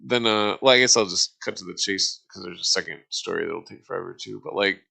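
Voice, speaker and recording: Middle-aged man, low gravelly voice, casual rambling storyteller, halting, repetitive, slangy. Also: pushed and bright.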